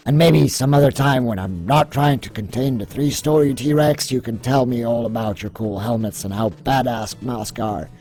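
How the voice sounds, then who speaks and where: A man's voice: medium pitch, normal speed, few pauses, dark tone, natural voice. A man playing an eager, wry toy-soldier field agent with chummy patter in an amateur comic dub. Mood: politely dismissive.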